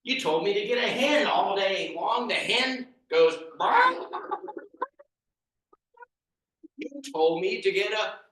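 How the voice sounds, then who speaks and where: A man's voice: very high-pitched, expressive, pitched above the performer's own voice. A man putting on a fed-up grump who hates noise. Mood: ranting. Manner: loud, drawn-out complaining. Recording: echoey room from far off.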